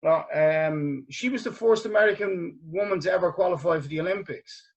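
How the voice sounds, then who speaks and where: A man hosts a casual podcast interview. His voice is fairly high for a man, and he talks earnestly, often stumbling and restarting his sentences. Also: a little fast, gravelly. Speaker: podcast host.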